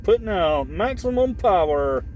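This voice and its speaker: A man's voice: high-pitched, lively intonation, a little slow, a little mellow, muffled recording. A man giving a casual, rambling, folksy hands-on demo of gear, recorded on a camera mic in or beside a vehicle outdoors. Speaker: vlogger demonstrating radio gear. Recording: clear background noise, car and outdoor sounds.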